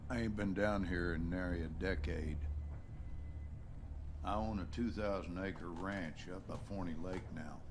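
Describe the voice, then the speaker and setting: A man's voice: low-pitched, unhurried, background noise. A harsh, bitter Southern father. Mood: bitter, taunting. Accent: Southern American. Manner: folksy drawl, domineering. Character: harsh, bitter, abusive American rural father. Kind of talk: video game drama acting. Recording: game music behind the voice.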